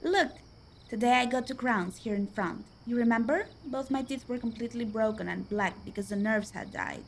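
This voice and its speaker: A woman's voice: on the high side, normal speed, slight background noise, lightly acted. A woman acting a worldly, chatty, poor young woman in a radio play, confiding and folksy. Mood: eager.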